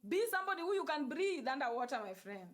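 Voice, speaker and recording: A woman's voice: high voice, sing-song, quick pace. A Kenyan woman with a Kenyan accent, hosting a livestream, talking casually and emphatically about energy to her viewers, repeating key phrases. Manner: repetitive, emphatic, switches into Swahili and Luo phrases. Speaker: livestream host. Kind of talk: casual spiritual talk to live viewers.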